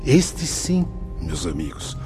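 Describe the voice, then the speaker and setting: Casting: a paternal spirit guide in a radio play, formal and fervent, very animated pitch, light music behind. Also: male voice, exaggerated voice. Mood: tender, fervent. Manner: measured, paternal, preacherly phrasing. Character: kindly solemn spirit mentor, brother Anselmo, paternal guide. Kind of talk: radio drama acting, formal and declamatory.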